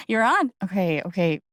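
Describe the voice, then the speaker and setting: Casting: wry, candid young woman for a narrative game, slow conversational delivery, natural mid-range voice, very dry sound. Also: mid-range voice, sing-song, a bit bright, no echo. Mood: teasing, friendly. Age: young adult. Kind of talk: naturalistic video game acting.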